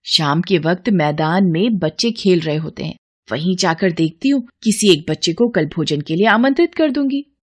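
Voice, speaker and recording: A woman's voice: on the low side, animated, normal speed. A woman voicing a stingy, complaining and easily exasperated housewife in a devotional storybook tale. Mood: scheming. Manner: complaining, scheming.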